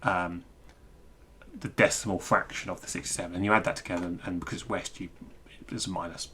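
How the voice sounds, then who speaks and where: A man giving an informal technical screencast walkthrough, thinking aloud in a halting, self-interrupting way. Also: low voice, steady tone, sharp tone, slight background noise. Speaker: presenter explaining technical material.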